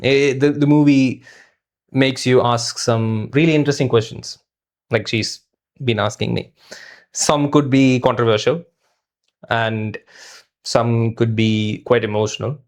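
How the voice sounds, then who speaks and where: A man's voice: mid-range voice, steady tone, measured pace, pauses often. A man co-hosting a conversational film review, thinking aloud in a hesitant, thoughtful way with the odd mild swear word.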